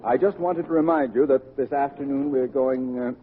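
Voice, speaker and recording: An actor playing a pompous, long-winded middle-aged high school principal in a vintage radio sitcom. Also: male voice, normal speed, narrow, tinny audio, close to an everyday voice. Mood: flustered. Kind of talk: 1940s-50s radio sitcom acting. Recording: vintage radio recording, hiss, studio audience.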